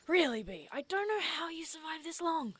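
An actress playing a brash, sarcastic young woman in an audio drama, slangy and quick to swear and tease. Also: extremely high pitch, a little hiss or hum. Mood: teasing, dry. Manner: slangy, swearing, teasing, excitable. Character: brash, foul-mouthed, sarcastic young woman on a monster hunt.

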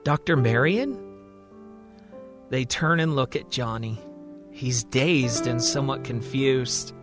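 Man, storyteller, dramatic scripted narration, mid-range pitch, music bed. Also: a little slow.

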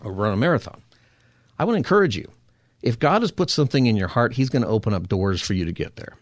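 A man's voice: mid-range voice, animated, fast talker, no room sound, clean studio sound. A man hosting a faith-based talk radio show, earnestly encouraging listeners in a preacher-like way. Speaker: radio host. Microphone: studio microphone.

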